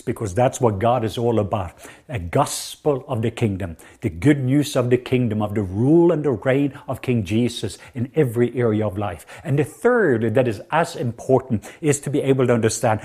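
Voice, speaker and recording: A man's voice: medium pitch, normal speed, warm tone, slightly rough. Norwegian-accented man preaching earnestly. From southwest Norway. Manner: earnest, emphatic preaching with many fillers. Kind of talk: sermon.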